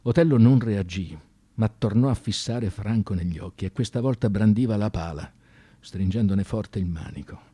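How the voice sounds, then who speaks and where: A man narrating a radio drama as a scripted story, intense and emphatic, with deliberate dramatic pauses. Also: fairly low pitch, dark tone, husky, dry recording.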